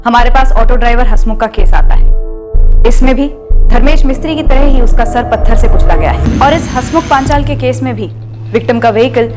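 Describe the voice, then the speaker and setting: A woman's voice: fairly high pitch, fairly quick, lightly acted. A woman playing a businesslike police officer briefing her superior in a TV crime drama. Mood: businesslike, serious. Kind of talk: Indian TV crime drama acting. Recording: dramatic music behind the voice.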